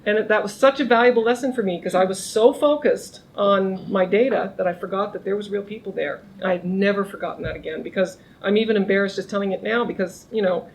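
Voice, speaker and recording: A woman's voice: medium pitch, quick pace, vocal fry, few pauses, slight background noise, low-quality recording. Middle-aged woman projecting a big voice. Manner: conversational, frequent restarts, raises her voice to be heard. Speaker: criminology professor. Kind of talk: informal academic talk to a small group. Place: lunch seminar room.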